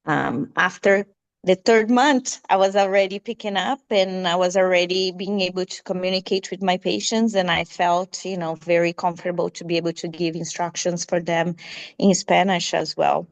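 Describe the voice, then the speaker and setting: Brazilian woman, middle-aged, Portuguese native, low-pitched, unhurried, halting with fillers. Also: steady tone, vocal fry. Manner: hesitant, searching for words with many fillers. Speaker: guest. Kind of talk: conversational interview.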